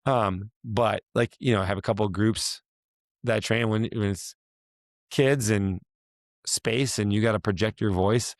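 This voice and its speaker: A man hosting a casual talk, his voice hoarse and raspy from a week of yelling, rambling and restarting as he asks questions. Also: on the low side, steady tone, unhurried, dry recording, clean studio sound. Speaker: podcast host, fitness coach. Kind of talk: casual chat.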